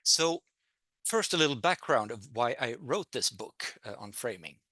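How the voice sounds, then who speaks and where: Middle-aged Swede with a bright voice. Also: male voice, mid-range voice, animated, moderate pace, no echo, clean studio sound. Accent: Swedish. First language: Swedish. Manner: hesitant, many fillers and restarts. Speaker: guest lecturer. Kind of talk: online public talk. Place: home in a town, by video link. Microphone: computer mic on a video call.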